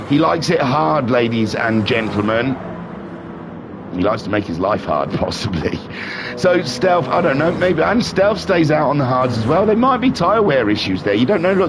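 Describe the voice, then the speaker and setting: Nasal racing commentator with a cold. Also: male voice, fairly high pitch, background noise. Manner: excitable, jokey, rambling asides. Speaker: race commentator. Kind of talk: live sim racing commentary.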